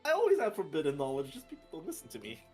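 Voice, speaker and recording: A man doing play-by-play commentary on a fighting game, excitable and bursting loud at big plays. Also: a little high, very fast, breathy edge, faint music behind. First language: not French. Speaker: esports commentator.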